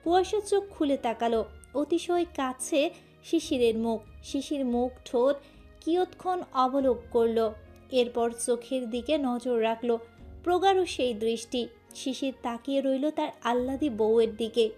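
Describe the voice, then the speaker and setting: A woman's voice: sits high, unhurried, darkish tone, soft background music. A woman reading a scripted romantic story as its narrator.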